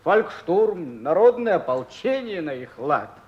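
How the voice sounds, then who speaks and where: Slow, high-voiced embittered soldier. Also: male voice, very animated, highs cut off, slight background noise. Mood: pained reflection. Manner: halting, weighty, reflective storytelling. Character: embittered Soviet soldier, pained, remembering the war. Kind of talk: Russian radio play monologue.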